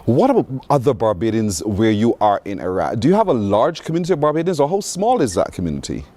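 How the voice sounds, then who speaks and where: Lively-voiced male morning show host. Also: fairly low pitch, fast. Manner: lively, encouraging questions.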